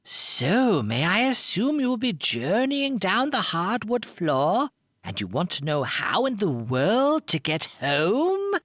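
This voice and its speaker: A wise old woman of the forest, acted by a male reader, offering gentle, drawn-out counsel. The delivery is very slow, with pitch swooping up and down in storybook fashion. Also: wildly swooping pitch, dry recording, clean studio sound, exaggerated voice. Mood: knowing, inquiring. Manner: soothing, measured, drawn-out counsel. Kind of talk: audiobook character voice.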